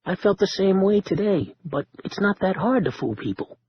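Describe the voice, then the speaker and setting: A man's voice: high-pitched, very animated, moderate pace, muffled recording, a little hiss or hum, pitched above the performer's own voice. A man voicing an eager, quirky male friend who talks in an upbeat, encouraging way.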